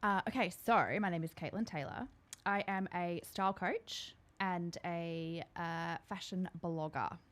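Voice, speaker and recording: A woman's voice: fairly low pitch, steady tone, a little slow. Chatty Australian woman with a hybrid accent. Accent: Australian, partly New Zealand-tinged. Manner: chatty, self-deprecating, laughs easily. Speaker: podcast guest, style coach. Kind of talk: casual chat.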